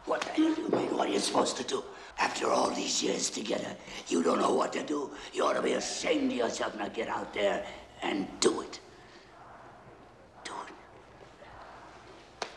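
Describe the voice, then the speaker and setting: A gravelly old boxing trainer. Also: male voice, lowish voice, sing-song, brisk. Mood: scolding. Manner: gravelly, scolding, pushy. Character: gruff stubborn old male boxing trainer. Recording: echoey arena with crowd noise.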